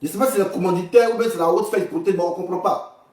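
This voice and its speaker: An Ivorian man with a fairly high voice talks off the cuff on a livestream. He rambles, repeats himself and is bluntly crude. The sound is roomy and a little far from the mic. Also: rapid-fire, a little mellow. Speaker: livestream host. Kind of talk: informal livestream political commentary. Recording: echoey room, distant from the mic.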